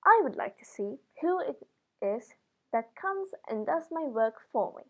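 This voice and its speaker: Woman reading as a curious shoemaker, high-voiced. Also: very animated, unhurried, fairly bright tone, breathy edge, exaggerated voice. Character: good, curious male shoemaker, married. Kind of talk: storybook reading.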